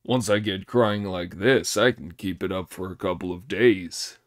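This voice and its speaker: A man's voice: low-pitched, a little slow, pitched below the performer's own voice. A man voicing a lazy, grumbling pig disciple in an audiobook. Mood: boastful.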